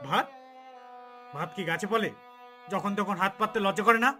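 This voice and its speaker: A cruel village uncle acted by a man in a cartoon, harsh and contemptuous. His scolding voice is very high and piercingly bright, with faint music underneath. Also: normal speed.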